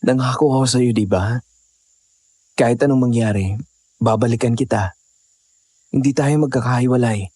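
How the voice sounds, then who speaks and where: A man's voice: mid-range voice, moderate pace, pauses often, darkish tone, dry recording, close to an everyday voice. A man playing an earnest, devoted young boyfriend in an audio horror drama. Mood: tender.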